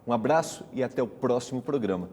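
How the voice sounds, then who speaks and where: Brazilian guy hosting a bible study talk show, mellow voice, echoey with faint noise. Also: medium pitch, animated, quick pace. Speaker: host of a religious study program. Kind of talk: televised Bible study discussion.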